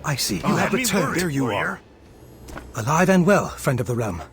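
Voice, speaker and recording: An actor playing a courteous, caring warrior monk in a fantasy video game, with formal, expressive speech. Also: male voice, a little high, fast talker. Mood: relieved, welcoming. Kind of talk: scripted game dialogue. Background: game music.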